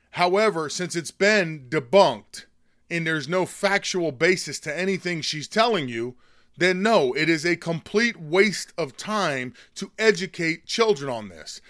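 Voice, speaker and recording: Hoarse, indignant American man ranting. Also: fairly high pitch, fairly bright tone, no room sound, studio quality. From United States. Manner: scornful, indignant. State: hoarse with a chest cold. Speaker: political commentary host. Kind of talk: opinionated monologue.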